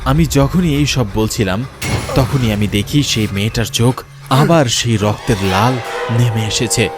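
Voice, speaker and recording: A man's voice: medium pitch, lightly acted. A man playing a frightened young man, a betrayed lover telling his horror story in an earnest, dramatic first-person narration. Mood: tense, shaken.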